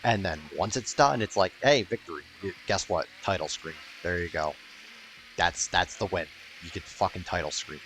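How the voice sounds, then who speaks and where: Deep voiced guy doing a casual lets play, grumbly n sarcastic, swears a lot, noisy recording. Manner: sardonic, grumbling, swears freely. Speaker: gaming video host. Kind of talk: casual video game playthrough commentary. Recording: noticeable background noise.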